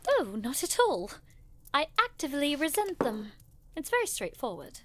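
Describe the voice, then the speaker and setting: A woman's voice: sits high, huge pitch swings, moderate pace, fairly bright tone, a little hiss or hum, full character voice. A woman acting in an audio drama as a proud, witty young noblewoman who studies magic, speaking in a wry, formal, haughty way. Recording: music behind the voice.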